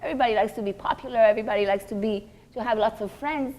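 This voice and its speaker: A woman with a fairly high voice delivers a religious lecture as a teacher. She is earnest and didactic, putting questions to her listeners and leaning on key words for emphasis. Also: rapid-fire, darkish tone. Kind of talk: religious lecture to a group of women.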